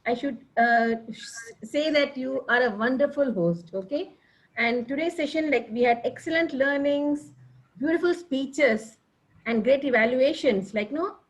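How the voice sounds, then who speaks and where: A woman's voice: sits high, a little slow, rough recording. A woman giving a chatty, encouraging evaluation at an online speaking club meeting over a video call. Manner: warm, encouraging, chatty with frequent fillers.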